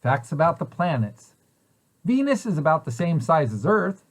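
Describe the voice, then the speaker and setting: A man's voice: on the high side, sing-song, moderate pace. A man reading a children's picture book aloud in an explanatory way, posing questions and then answering them. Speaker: preschool site director reading aloud to children.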